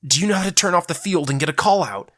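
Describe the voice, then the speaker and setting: Narrator doing a fierce fighter lady, raised pitch, brash and snarly, audio drama heroine. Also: expressive, quick pace, a bit bright. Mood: urgent. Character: fierce, defiant shape-shifting fighter woman.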